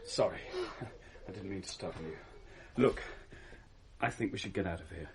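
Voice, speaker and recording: An actor playing an earnest, uneasy young British boyfriend in a radio play, speaking in a hesitant, pleading manner. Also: male voice, deep voice, normal speed, creaky, very noisy. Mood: uneasy, hushed. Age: young adult.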